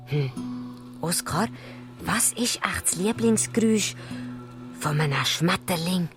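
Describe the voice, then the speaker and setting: A woman playing a small, lively male dwarf who muses aloud and asks questions, with a Swiss German accent, in a children's radio play. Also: mid-range voice. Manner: musing aloud, asking questions.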